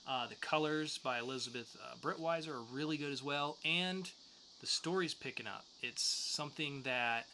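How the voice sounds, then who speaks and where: A man's voice: medium pitch, normal speed, bright, forceful tone, a little hiss or hum. A man co-hosting a podcast, giving reviews in a casual, conversational way. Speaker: podcast co-host reviewing comics.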